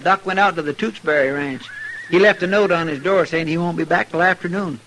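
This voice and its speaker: Earnest cowboy sidekick, high voice, rural American drawl, folksy and deferential, old radio western, slight hiss. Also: male voice, a little fast, fairly bright tone. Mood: anxious. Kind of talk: 1950s western radio drama acting. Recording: noisy old radio broadcast recording.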